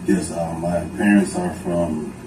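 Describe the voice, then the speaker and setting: A man's voice: sits low, fairly even intonation, a little slow. A man from Connecticut with a slight Jamaican accent, answering questions briefly and politely, recorded in a noisy, echoey room on a distant mic. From Connecticut, United States.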